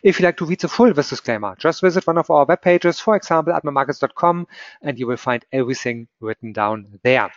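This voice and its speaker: A man's voice: fairly high pitch, quick pace, dry recording. Upbeat German-accented male host, dry muffled webinar audio. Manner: upbeat, scripted intro with disclaimers. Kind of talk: presenting a trading webinar. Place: office.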